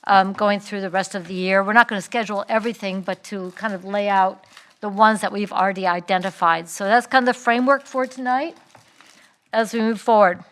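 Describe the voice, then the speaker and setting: Woman, mayor, chairing council meeting, brisk organized tone, mid pitch. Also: steady tone, a bit bright. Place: council chamber.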